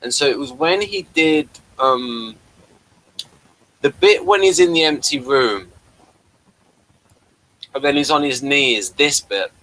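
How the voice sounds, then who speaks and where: Male review host, bright forward voice, halting and rambling, informal chat. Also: mid-range voice, lively intonation, rough recording.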